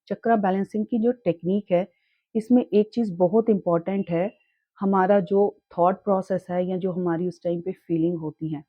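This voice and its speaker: A young woman teaching in a calm, reassuring way, with a sore throat that makes her clear it often. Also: medium pitch, dry recording, dull audio. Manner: calm, reassuring, mixes English words into her talk. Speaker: chakra healing teacher. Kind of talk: casual spoken tutorial.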